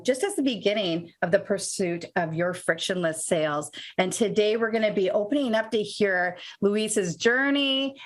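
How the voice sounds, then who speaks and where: A woman co-hosts a podcast and introduces her guest with plenty of enthusiasm. Her voice is at a middle pitch, and she laughs often as she talks. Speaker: podcast co-host.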